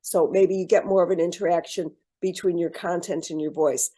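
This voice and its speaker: A woman leads an online workshop over a video call. Her voice is warm and mellow. She speaks in a measured, encouraging way, pausing on ums and restarting phrases. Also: on the low side, fairly even intonation. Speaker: program presenter.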